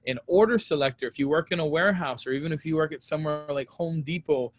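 A man in his thirties from Hawaii, giving a relaxed, plain-spoken safety lecture over a webcam from a small room. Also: a little high, fast talker. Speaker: safety instructor. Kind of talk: online training lecture. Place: small room at home, indoors. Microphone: webcam on a video call.

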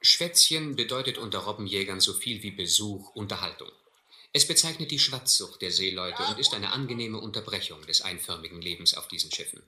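Reflective narrator, very bright voice. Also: male voice, on the low side, animated. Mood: measured. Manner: measured, literate storytelling. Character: reflective educated seaman recalling his voyage. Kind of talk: scripted radio play narration. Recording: echoey old recording with faint noise.

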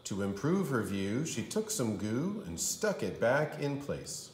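A man's voice: mid-range voice, very animated, a little slow, a little hiss or hum. A man reading a children's storybook aloud like a kindergarten teacher, with theatrical, sing-song delivery. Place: indoor room. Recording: roomy indoor echo.

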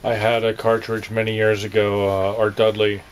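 A man's voice: lowish voice, completely flat delivery, moderate pace, a little hiss or hum, low-quality recording. A middle-aged man interviewing during a warehouse tour, mostly brief affirmations, recorded on a camera mic. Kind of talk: casual questions. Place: large warehouse, indoors.

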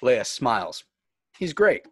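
A man's voice: mid-range voice, very animated, takes it slowly, husky, dry recording. Dry-sounding male script narrator. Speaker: narrator reading stage directions. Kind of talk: scripted narration at a table read. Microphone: video call.

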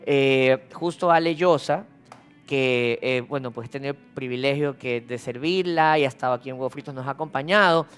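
Smooth-voiced, enthusiastic male co-host. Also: mid-range voice, moderate pace, fairly bright tone, faint music behind. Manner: enthusiastic, rambling, preachy about fitness. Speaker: talk show co-host. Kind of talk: casual studio chat. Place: TV studio set.

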